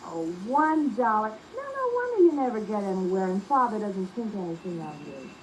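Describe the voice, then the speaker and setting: A woman playing a fond but exasperated young girlfriend in a 1940s radio comedy, with chiding, expressive delivery. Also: mid-range voice, normal speed, crowd noise, natural voice. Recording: muffled, noisy old radio recording.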